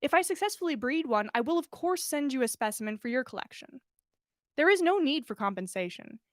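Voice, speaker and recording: Storybook male herbologist read by a woman: earnest, wordy, courteous, high-pitched, close dry sound. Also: big pitch swings, a little fast, no room sound. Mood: earnest, formal. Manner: formal, wordy, polite. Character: earnest, bookish, absent-minded adult male herbologist.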